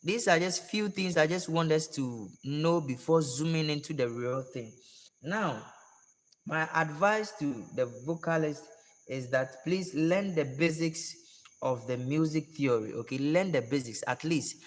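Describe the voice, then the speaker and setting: A hoarse man giving a relaxed music lesson to singers, repeating his advice in an unhurried, gentle way. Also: on the high side. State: hoarse, voice worn out. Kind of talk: casual spoken tutorial. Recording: long reverb with music playing behind.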